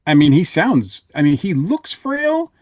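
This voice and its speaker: A man's voice: a little high, very animated, measured pace, darkish tone, no room sound, studio quality. A man chatting casually as a panelist on a review podcast.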